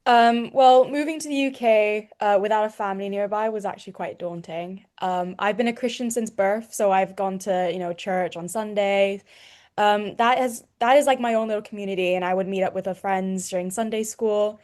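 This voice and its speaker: A woman's voice: medium pitch, moderate pace, a little mellow. A young woman from Hong Kong speaks in a mid-range voice. She is earnest and a little hesitant as she shares her faith story, and she leans on casual fillers. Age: young adult. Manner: earnest, fillers like "you know". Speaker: student. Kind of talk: personal testimony.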